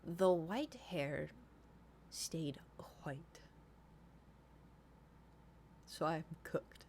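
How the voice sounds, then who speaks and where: A woman's voice: deep voice, extremely slow, a little mellow, a little hiss or hum. A woman in her thirties chatting casually to livestream viewers, rambling informally, swearing freely and repeating herself for emphasis. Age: 30s. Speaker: livestream host.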